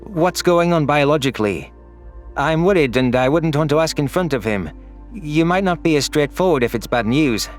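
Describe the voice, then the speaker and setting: A man's voice: a little high, animated, normal speed, close to an everyday voice. An anxious, irritable male alien played by a man in a sci-fi audio story. He sounds agitated and defensive, with faint music behind him. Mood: worried, defensive.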